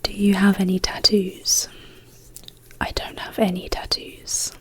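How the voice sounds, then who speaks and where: A woman whispers in a hushed ASMR manner, taking her time with each phrase. She talks casually to her listeners as the host, at a slow, unhurried pace. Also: medium pitch, level tone, breathy edge. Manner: slow, hushed, gently paced delivery.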